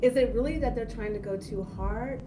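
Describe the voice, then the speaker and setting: A woman's voice: a little high, a little fast, noisy background. Chatty young woman co-hosting radio. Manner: chatty, playful, questioning. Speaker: radio co-host. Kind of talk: casual studio banter reviewing music. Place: small radio studio. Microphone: headset and boom mic.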